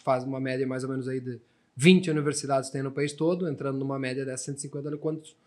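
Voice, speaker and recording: Fast-talking Portuguese man with European accent. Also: mid-range voice, steady tone. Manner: chatty, many restarts and tag questions. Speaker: podcast guest. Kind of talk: casual storytelling conversation.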